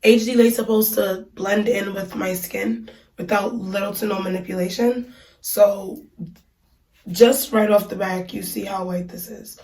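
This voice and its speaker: A woman's voice: medium pitch, fairly even intonation, a little slow, warm tone. Chatty woman, stuffy voice, echoey bathroom. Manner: chatty, many ums and likes. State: stuffy from a cold. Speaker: beauty vlogger reviewing hair. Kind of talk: casual unboxing review. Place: small bathroom. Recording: echoey room, mic at a distance.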